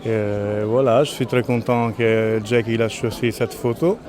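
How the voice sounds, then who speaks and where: A man's voice: mid-range voice, fairly even intonation, normal speed. A man from Italy with an Italian accent, speaking casually as a photographer being interviewed outdoors. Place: outdoors at a jazz festival. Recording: crowd behind, outdoor event.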